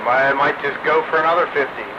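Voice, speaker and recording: A man's voice: a little high, steady tone, fast talker. A man talking casually as an operator over CB radio. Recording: dull CB radio link, loud hiss.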